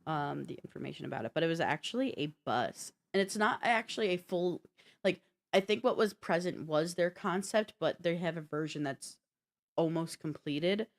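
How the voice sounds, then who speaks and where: Low-pitched woman, casual podcast co-host. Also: lively intonation, normal speed. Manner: informal, slangy, thinking aloud. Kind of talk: casual tech and games chat.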